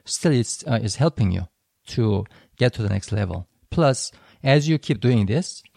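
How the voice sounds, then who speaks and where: A man whose first language is Korean talks through ideas on a podcast with a gravelly, mellow voice. He explains at a measured, slightly halting pace, recorded dry and close to the mic. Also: mid-range voice, lively intonation, no echo. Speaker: podcast co-host. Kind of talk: casual educational discussion.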